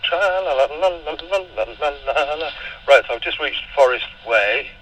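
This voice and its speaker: A man's voice: on the high side, unhurried, fairly bright tone, a little hiss or hum, low-quality recording. A man with a British accent giving a casual running commentary over a walkie-talkie radio as he walks. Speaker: product reviewer. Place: outdoors on residential streets. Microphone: handheld walkie-talkie.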